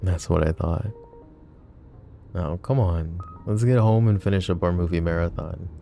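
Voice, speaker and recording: A man playing a deep gravelly mafia boss. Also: moderate pace, faint music behind. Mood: tender teasing. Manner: teasing, soft-spoken. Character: flirty possessive male mafia boss, protective. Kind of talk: ASMR roleplay.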